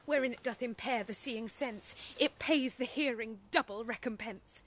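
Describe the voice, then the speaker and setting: High-voiced, devoted young sweetheart. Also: female voice, moderate pace, fairly bright tone. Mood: tender, questioning. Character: devoted young woman, bewildered and hurt. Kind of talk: classical Shakespearean radio acting.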